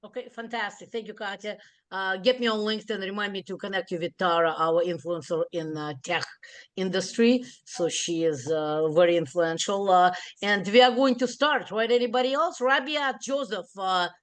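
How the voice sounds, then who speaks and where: A woman hosting an online networking event over a video call, upbeat and halting, with many fillers. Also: medium pitch.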